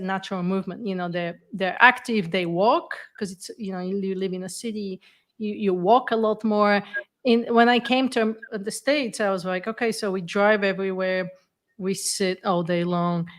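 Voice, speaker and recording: Woman host, warm conversational interviewer, mid-range voice. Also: medium pitch. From Bulgaria. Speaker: podcast host.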